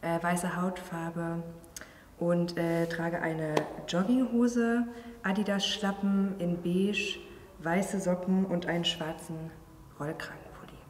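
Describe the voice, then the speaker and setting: Woman, thirty, slightly low pitch, halting casual interview talk, roomy echo, faint noise. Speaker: performer and choreographer interviewee. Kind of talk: casual interview, explaining her work. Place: large empty theatre venue. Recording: echoey hall, distant mic, faint noise.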